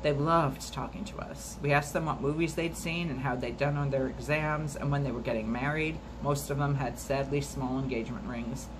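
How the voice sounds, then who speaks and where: A woman reading a memoir aloud for a storytime video at a steady, measured pace, now and then stumbling and restarting a word. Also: a bass voice, noisy background. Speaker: storytime reader.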